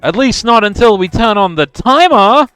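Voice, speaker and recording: Man putting on a high, prim professor. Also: expressive, normal speed, music in the background. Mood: scolding. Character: fussy, prim school professor scolding students.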